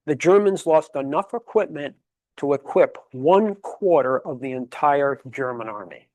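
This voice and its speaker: A man's voice: fairly high pitch, expressive, a little slow, darkish tone, no echo, rough recording. A man giving a history lecture at a public library, emphatic and fond of rhetorical questions, rattling off dates and figures. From Connecticut, USA. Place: library meeting room.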